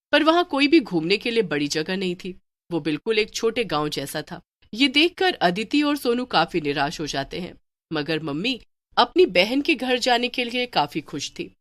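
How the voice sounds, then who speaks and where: A woman's voice: medium pitch, no room sound. A woman reading scripted narration for a children's horror story as the storyteller.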